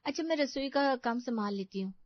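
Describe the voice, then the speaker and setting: A woman voicing a young, quick-witted daughter-in-law in a family story, complaining and glib in manner. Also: high voice, animated, no room sound, highs cut off. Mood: glib, excusing.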